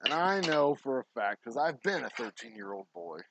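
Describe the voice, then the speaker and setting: A middle-aged man with a sore, hoarse throat, talking bluntly and swearing freely while he bottles whiskey at his distillery. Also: medium pitch, big pitch swings, moderate pace, noisy background. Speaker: distillery owner. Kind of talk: casual vlog monologue while working.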